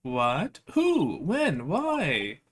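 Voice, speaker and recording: A man's voice: on the high side, huge pitch swings, very slow, slight background noise, full character voice. A man voicing an evasive, snippy and stubbornly uncooperative young woman witness in a visual novel game. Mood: defensive. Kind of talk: visual novel read-aloud.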